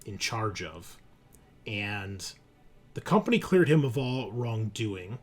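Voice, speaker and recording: A man narrating a tabletop roleplaying game as game master, in an informal, halting way. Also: on the low side, lively intonation. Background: music.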